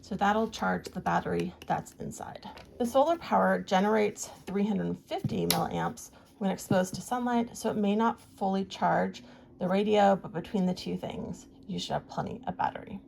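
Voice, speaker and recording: Creaky-voiced woman giving a casual product demo. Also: mid-range voice, moderate pace, a little mellow. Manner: plain-spoken, explanatory. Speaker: product reviewer. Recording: clear background noise, handling clicks.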